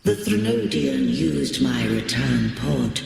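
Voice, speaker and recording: Gravelly, echoing ancient godlike being. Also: male voice, on the low side, big pitch swings, darkish tone, exaggerated voice. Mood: solemn, grave. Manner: archaic, grandiose, measured speech. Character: ancient solemn godlike sentinel being, Imperator. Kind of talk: video game voice acting. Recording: cavernous reverb, music underneath.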